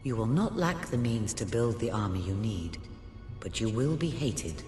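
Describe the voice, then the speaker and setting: Game cutscene mentor male actor, grave and foreboding, mid-pitched, heavy reverb, music underneath. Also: expressive, moderate pace, a little mellow, natural voice. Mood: stern, foreboding. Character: solemn, cryptic mentor prophesying doom to a new king. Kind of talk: dramatic video game cutscene dialogue. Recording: echoey hall reverb, music behind.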